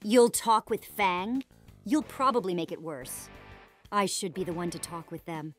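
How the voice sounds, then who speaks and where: A woman's voice: on the low side, unhurried, faint music behind, close to an everyday voice. A woman voicing a hostile, protective teenage dinosaur girl in a visual novel, blunt and accusing.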